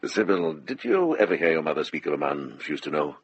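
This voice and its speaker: A middle-aged monk who investigates crimes in a radio play. His voice is extremely deep and rough-edged. He speaks directly, with brisk, probing questions. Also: sing-song, no echo, dull audio, heavily acted. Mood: brisk, earnest. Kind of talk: radio drama acting.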